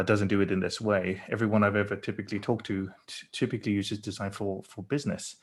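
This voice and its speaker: A middle-aged man born in Burma and raised in England, with an English accent, speaking thoughtfully as a panel guest with many fillers and restarts. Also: fairly low pitch. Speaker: panel guest, company founder. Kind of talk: conversational panel talk.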